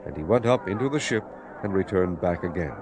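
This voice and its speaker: Male narrator, low pitch, animated intonation, dramatized scripture reading. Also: brisk, noisy background.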